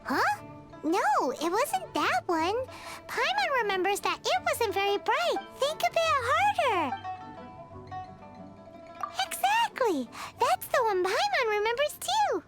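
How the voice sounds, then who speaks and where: A woman voicing a tiny, cute and bossy fairy companion with a squeaky childlike voice in a video game. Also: very animated, slow, loud music behind the voice, exaggerated voice. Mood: pondering. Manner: refers to self in third person, outspoken.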